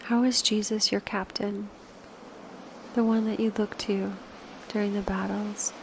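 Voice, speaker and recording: A woman leading a slow guided meditation, speaking softly and unhurriedly in short phrases with pauses, often posing reflective questions. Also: medium pitch, little pitch movement, gravelly, noisy background.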